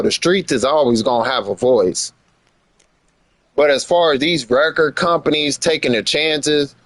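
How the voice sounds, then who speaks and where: A man's voice: a little high, no echo. An American man chatting casually on a livestream in slangy speech, repeating phrases for emphasis. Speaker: live streamer. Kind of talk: casual livestream commentary chat.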